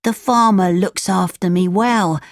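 A woman's voice: medium pitch, unhurried, no room sound, studio quality, voice pushed up, natural voice. A woman playing a contented male talking donkey in a children's storybook. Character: contented male donkey, puppet's old friend. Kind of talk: children's storybook character reading.

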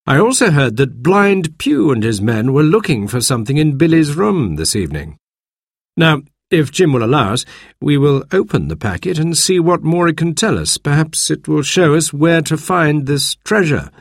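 Man doing a serious old doctor, earnest, husky, dry close sound, storybook. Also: fairly high pitch, big pitch swings, moderate pace, dry recording, voice pushed up, full character voice. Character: careful, serious older male doctor.

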